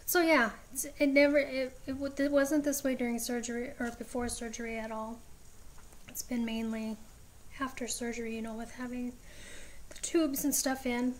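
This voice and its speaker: A woman vlogging a casual update, chatty and rambling with frequent ums, her voice raspy at times. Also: a little high, flat delivery, moderate pace, a little hiss or hum.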